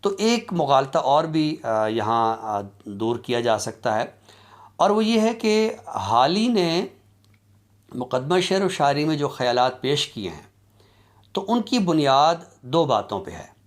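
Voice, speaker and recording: A man giving an academic lecture in a deliberate, explanatory way, with halting pauses. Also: mid-range voice, very animated. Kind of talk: academic lecture on literary criticism.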